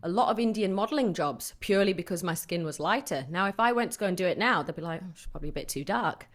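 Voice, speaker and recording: Frank low-voiced woman in her forties. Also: fast. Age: 40s. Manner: frank, lively storyteller who laughs easily. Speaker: fitness coach. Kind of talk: casual interview conversation.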